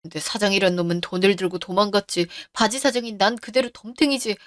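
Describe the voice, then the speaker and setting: A woman voicing a middle-aged man who is deep in debt and blames others, in a narrated radio story. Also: fairly even intonation, fast, dry recording, clean studio sound, natural voice. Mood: pleading. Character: failing middle-aged man, indebted front-man boss.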